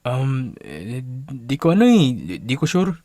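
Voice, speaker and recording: A man's voice: mid-range voice, huge pitch swings, measured pace, darkish tone, dry recording, clean studio sound. An actor playing a nervous, evasive young friend in a radio drama, hedging and stalling in his answers.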